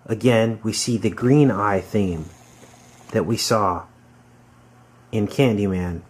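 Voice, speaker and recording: A man giving informal, halting conspiracy commentary on a film, explaining as he goes. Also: fairly low pitch, breathy edge. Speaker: video commentator. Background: music.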